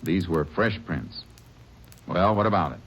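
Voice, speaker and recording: An actor playing a calm, firm male Texas Ranger who narrates and questions suspects in steady, measured tones, in 1940s radio drama style. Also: low voice, slight background noise. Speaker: narrator and lead character.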